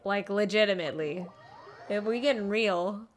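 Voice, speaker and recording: A woman streaming a video game with casual, expressive commentary and exclamatory reactions. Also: medium pitch, normal speed. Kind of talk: casual live game commentary, reading dialogue aloud. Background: game music.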